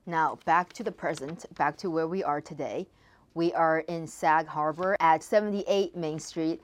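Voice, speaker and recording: A woman's voice: low voice, measured pace. A woman hosting an interview and asking questions in a casual, conversational way. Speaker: podcast host.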